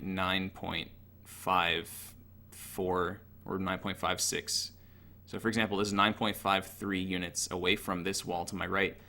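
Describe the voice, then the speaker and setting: A man's voice: low voice, creaky, sharp tone. A man giving an informal, technical tutorial over gameplay in a matter-of-fact, analytical way. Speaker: gaming tutorial narrator.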